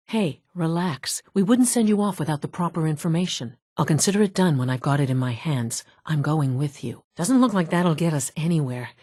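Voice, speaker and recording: An actress voicing a poised, businesslike woman scientist in a video game, speaking in a measured, formal, matter-of-fact way. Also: low-pitched, a little mellow, no room sound, clean studio sound. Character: poised, businesslike middle-aged woman scientist running an underground facility. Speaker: video game character, facilities division head. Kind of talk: scripted video game dialogue.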